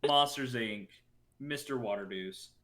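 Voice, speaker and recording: A man's voice: mid-range voice, measured pace, heavy background noise. A man joking casually with friends on a group call for a live stream. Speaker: member of a writing and performing group.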